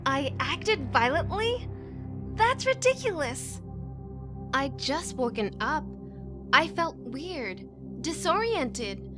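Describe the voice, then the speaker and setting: A woman's voice: high-pitched, expressive, takes it slowly, music in the background. A woman acting a young angel, distrustful and easily frightened, in a sci-fi visual novel. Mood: indignant, confused.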